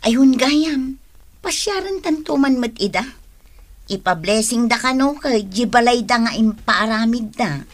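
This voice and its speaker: A woman's voice: fairly high pitch, expressive, a little slow. An actress playing a debt-burdened married woman in an Ilocano radio drama, with a plaintive, sighing delivery and an Ilocano accent. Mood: settled. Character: distressed married woman burdened by debts, remorseful wife.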